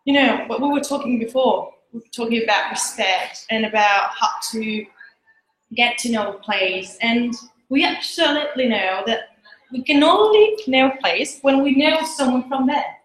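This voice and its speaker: A woman's voice: fairly high pitch, fairly bright tone. Woman presenting in an echoey room. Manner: conversational, halting restarts. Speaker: presenter of a tourism startup. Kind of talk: informal presentation to a small group. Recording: echoey room with faint noise.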